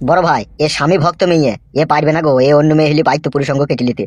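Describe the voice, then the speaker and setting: Man voicing a fast-talking brash cartoon politician. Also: on the high side, a little mellow, husky. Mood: bossy, probing. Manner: bossy, coarse, swearing. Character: brash crude male village politician, bossy and scheming. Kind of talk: comedy cartoon dialogue.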